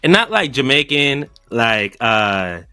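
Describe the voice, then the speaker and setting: Lively, bright-voiced man in casual chat. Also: slow pace, smooth, no echo. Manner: animated, laughing, swings from low asides to loud outbursts. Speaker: podcast co-host.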